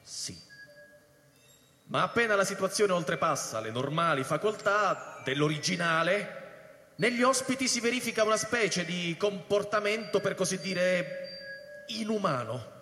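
An actor playing a formal, pedantic scientist in a radio drama, speaking in stiff, precise, lecturing phrases in a reverberant space. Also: male voice, high voice, lively intonation, a little slow, sharp tone, faint music behind, full character voice. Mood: formal, detached. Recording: echoey hall with long reverb.

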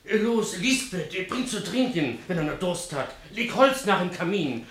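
An actor playing a nervous, servile innkeeper in a radio play, hesitant and fussily obsequious. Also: male voice, high-pitched, very animated, normal speed, fairly bright tone, reverberant. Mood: anxious, eager. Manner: stammering, obsequious. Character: nervous, servile male innkeeper fussing over his guests. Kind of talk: German radio play acting.

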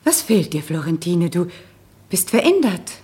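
An actress playing a gracious, inquisitive hostess in a German radio drama, speaking in an expressive, probing manner. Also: female voice, on the low side, a little slow. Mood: suspicious, concerned.

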